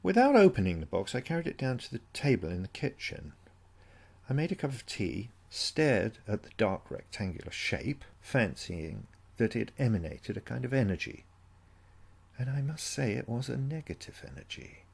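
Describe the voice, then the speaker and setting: A man with a gravelly, husky voice narrating an eerie first-person story in a brooding, measured, suspenseful way, like a dramatic audiobook. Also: mid-range voice, big pitch swings.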